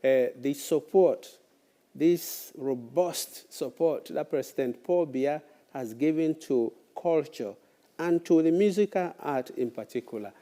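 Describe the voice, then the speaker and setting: Male co-host, slow and mellow, lots of um and uh. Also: a little high. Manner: hesitant, many fillers. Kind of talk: talk show interviewing.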